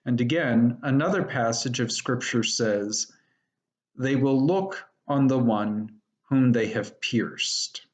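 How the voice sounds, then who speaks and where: A man reading scripture aloud in a solemn, measured, deliberate manner. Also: medium pitch. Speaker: lay scripture reader.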